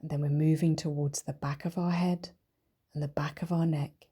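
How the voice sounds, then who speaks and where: A woman leading a guided meditation in a soft, breathy, whispery voice, speaking gently and soothingly with long, deliberate pauses. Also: low voice, flat delivery. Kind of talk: guided meditation, body scan.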